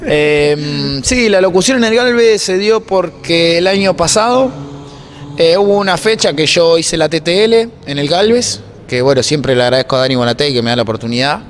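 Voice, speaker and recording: A man's voice: fairly high pitch, expressive, normal speed. A man in his twenties with an Argentine accent, chatting casually as an interview guest into a handheld microphone. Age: 20s. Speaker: interview guest, racing announcer and workshop mechanic. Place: indoor block-walled room at a racetrack. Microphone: handheld interview microphone held toward him. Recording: noisy room, clear ambient background noise.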